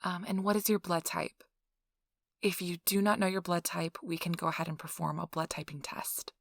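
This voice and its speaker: A woman's voice: lowish voice, flat delivery, moderate pace, vocal fry, no room sound, clean studio sound. A woman playing a chatty, officious and reassuring interviewer at a vampire blood donation center in an ASMR role-play. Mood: polite, businesslike.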